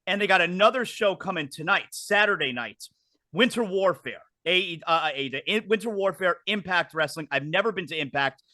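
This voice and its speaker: A middle-aged man hosts a casual wrestling show. His voice sits high and bright, and he rambles cheerfully, doubling back on his words. The sound is dry, with no room around it. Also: dry recording. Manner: chatty, repeats words when restarting. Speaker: podcast host.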